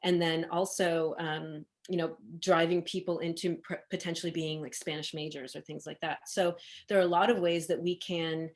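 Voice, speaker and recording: A woman with a fairly low voice leads a workshop over a video call. She talks in a casual, hesitant way, with fillers and restarts along the way. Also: little pitch movement, normal speed, few pauses. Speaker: workshop facilitator.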